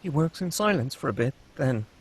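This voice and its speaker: A man's voice: mid-range voice, sing-song, brisk, husky. A man reading stage directions as the narrator of a screenplay, in a dramatic scripted style.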